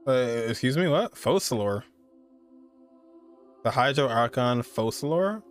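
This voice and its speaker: Male game streamer, twenties, medium-pitched, informal off-the-cuff commentary with soft music in the background. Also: lively intonation, a little slow. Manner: informal, slangy. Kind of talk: casual live reaction commentary. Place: indoor streaming setup, game audio behind.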